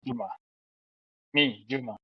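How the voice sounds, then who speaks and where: A young man from Uganda, a film director, talking casually and haltingly with many restarts on a headset during a video call. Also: mid-range voice, gravelly, husky, no room sound. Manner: relaxed, halting, self-deprecating with restarts. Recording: dry, dull lo-fi call audio.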